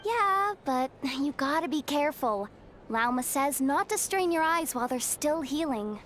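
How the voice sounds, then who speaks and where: A woman's voice: sits high, expressive, normal speed, a bit bright, a little hiss or hum. A woman voicing a small, sassy, self-important but friendly merchant girl in a video game. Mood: helpful, breezy. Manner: self-important, playful, theatrical. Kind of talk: video game character acting. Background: game music.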